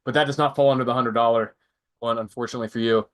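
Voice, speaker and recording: A man's voice: medium pitch, steady tone, rapid-fire, fairly bright tone. A young adult man with a stuffy, congested voice, hosting a casual live stream and rambling through product picks with frequent self-corrections. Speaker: prepper channel host.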